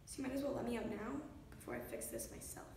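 A woman's voice: on the high side, big pitch swings, fast, darkish tone. A woman performing a dramatic monologue as a frightened, defiant young psychiatric patient, intense and accusatory. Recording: very echoey room, distant mic, faint noise.

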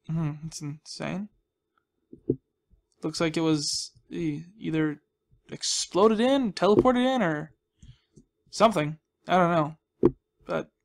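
Higher-pitched, creaky young male gamer. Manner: playful, rambling, muttering reactions to himself. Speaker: gaming host. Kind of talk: casual Let's Play commentary.

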